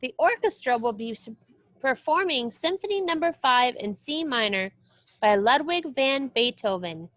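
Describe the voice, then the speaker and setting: A woman reading a children's music book aloud as a teacher, expressive and explanatory. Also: high voice, normal speed, no echo. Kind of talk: read-aloud lesson for children.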